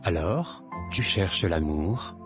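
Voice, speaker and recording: Earnest romantic lead for animation, male actor, early twenties, polite, notably deep voice. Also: lively intonation, takes it slowly. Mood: friendly, flirting. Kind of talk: animated drama dialogue. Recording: music behind the voice.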